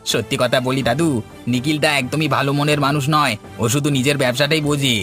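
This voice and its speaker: A man's voice: medium pitch, fairly even intonation, fast, fairly bright tone, faint music behind, lightly acted. A man playing an eager, outspoken young eatery worker in a cartoon. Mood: defiant. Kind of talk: Bengali cartoon dialogue.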